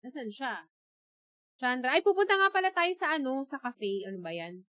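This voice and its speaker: Filipino woman streamer with a higher voice. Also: sing-song, fairly quick, pushed and bright, faint music behind. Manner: chatty, thinking aloud. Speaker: game streamer. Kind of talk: casual livestream gameplay commentary in mixed English and Tagalog.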